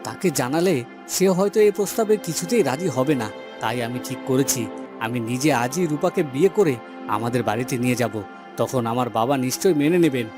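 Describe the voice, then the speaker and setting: A man voicing an earnest, resolute young son of a village headman, in melodramatic cartoon style with deliberate delivery. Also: mid-range voice, expressive, close to an everyday voice. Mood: earnest, tender. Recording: background music under the voice.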